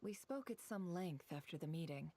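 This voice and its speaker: A shrewd, composed anime game leader speaks in a low, velvety dark voice. Her delivery is formal, measured and quietly commanding. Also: animated, no echo.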